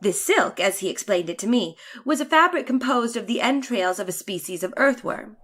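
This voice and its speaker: A woman's voice: a little high, lively intonation, fairly bright tone. Woman acting a theatrical, haughty, bored lady traveler. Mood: chatty, explanatory. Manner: theatrical, drawn-out, exasperated sighing delivery. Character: bored, haughty, chatty woman letter-writer aboard a futuristic balloon. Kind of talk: dramatic reading of a short story.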